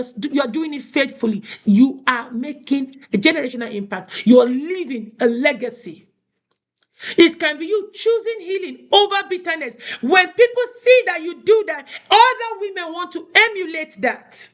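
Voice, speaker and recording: A woman's voice: very high voice, a bit bright. A woman from Nigeria giving a motivational talk, preaching and repeating key phrases for emphasis. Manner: asks listeners "do you understand?". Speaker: host of a women's motivational show.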